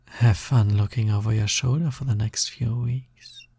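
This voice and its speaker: A man playing a sly, smug, possessive naga roommate in an intimate audio roleplay, with a breathy, gravelly voice and a teasing drawl that often drops toward a whisper. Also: lowish voice, steady tone, normal speed, dark tone. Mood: teasing menace. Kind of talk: intimate scripted audio roleplay, close ASMR-like delivery.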